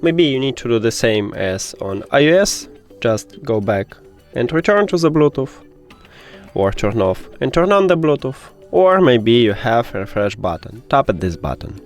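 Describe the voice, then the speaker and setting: A man hosting a how-to tech video, talking the viewer through instructions step by step in a direct, instructional way. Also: mid-range voice. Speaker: host of a tech troubleshooting tutorial. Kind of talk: spoken step-by-step tutorial.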